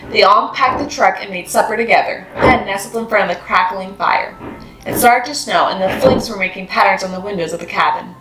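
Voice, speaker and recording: A woman reads a children's story with expressive, animated delivery and clear emphasis. Her voice sits at a medium pitch in a roomy, echoing space, with music playing behind her. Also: fairly quick. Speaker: host of a children's read-aloud series.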